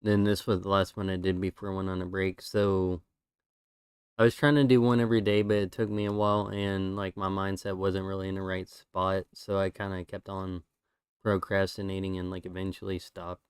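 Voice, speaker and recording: A man's voice: sits low, moderate pace, clear, resonant tone, dry recording, clean studio sound. A man streaming, chatting casually and rambling through his drawings while losing his voice. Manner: relaxed, rambling with fillers.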